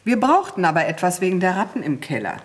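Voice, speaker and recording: A woman's voice: on the low side, sing-song, fast talker, recorded from a distance. Sly genteel lady, dramatically swooping voice. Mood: insistent. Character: genteel, controlling lady of the house, sly politeness. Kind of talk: German radio play acting. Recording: very echoey room, faint noise.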